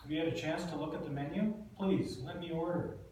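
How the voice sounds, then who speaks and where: A man's voice: a little high, steady tone, brisk, darkish tone, lightly acted. Brief: man reading impatient, guarded older scientist, distant mic in a roomy space. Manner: impatient, clipped. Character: eerie fifties male geneticist, impatient and secretive. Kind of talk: table read. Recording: echoey room, mic far off, faint noise.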